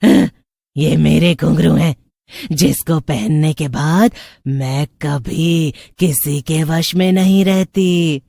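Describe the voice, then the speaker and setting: A woman voicing a vengeful, commanding witch ghost with a creaky voice and theatrical delivery for a horror cartoon story. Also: low voice, fairly even intonation, takes it slowly, dark tone, no room sound, clean studio sound, heavily acted. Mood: menacing. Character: vengeful female witch ghost obsessed with dancing anklets.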